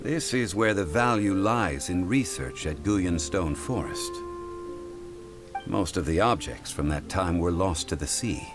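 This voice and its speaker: A calm scholarly game gentleman, really deep, patient and formal, music underneath. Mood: calm, explaining. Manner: measured, formal, explanatory. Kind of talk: video game voice acting. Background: clear game music.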